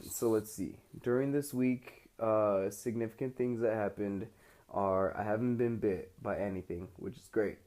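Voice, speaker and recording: A man's voice: lowish voice, dark tone. A young American man recording a casual audio diary, rambling informally in slangy everyday speech. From United States. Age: young adult. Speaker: student recording an audio log abroad.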